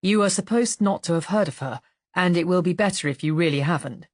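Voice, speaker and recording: Woman reading as a measured, guarded male police inspector. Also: fairly low pitch, no room sound, natural voice. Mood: assured, guarded.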